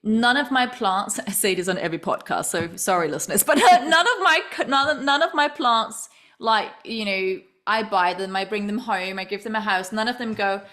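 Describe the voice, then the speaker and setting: A woman from Denmark hosting a podcast, talking in a rambling, animated way and often repeating words as she restarts. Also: medium pitch, moderate pace. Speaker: podcast host. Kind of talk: casual interview chat.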